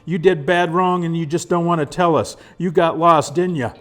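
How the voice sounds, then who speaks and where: A man voicing a frantic, shouting pioneer father. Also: on the high side, steady tone, moderate pace, pitched above the performer's own voice, lightly acted. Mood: furious. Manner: shouting, accusing.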